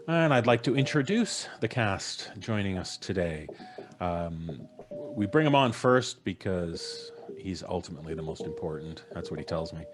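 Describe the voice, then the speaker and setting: Deep-voiced, animated middle-aged man hosting. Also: normal speed, over music. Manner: jokey, theatrical introductions. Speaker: podcast host. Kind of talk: casual live-stream hosting. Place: small indoor home studio, on a video call. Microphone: studio mic on a boom arm.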